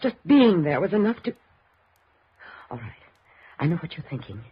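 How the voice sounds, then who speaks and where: Shaken, halting young radio-drama woman. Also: fairly low pitch, big pitch swings. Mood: anxious, insistent. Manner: halting, breaking off and repeating words. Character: shaken, anxious young woman, grieving and suspicious daughter. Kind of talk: 1950s American radio drama acting. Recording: dull, noisy old radio recording.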